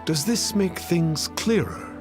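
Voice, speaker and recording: A man's voice: fairly high pitch, lively intonation, takes it slowly, loud music behind the voice. A man playing a pompous, cryptic masked sage in a video game, speaking in grand archaic phrases. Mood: lofty, preaching. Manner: grandiloquent, archaic, refers to self as "one". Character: pompous masked male self-styled immortal sage, lofty and cryptic. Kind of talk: video game character acting.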